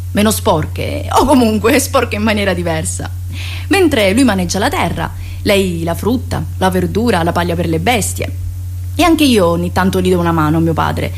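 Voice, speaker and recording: An actress playing a spirited young country girl in a radio play, chatty and expressive, with a Sicilian accent. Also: mid-range voice, breathy edge. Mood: confiding, earnest. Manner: expressive, chatty, dialect-peppered. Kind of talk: radio theatre monologue, colloquial and confiding.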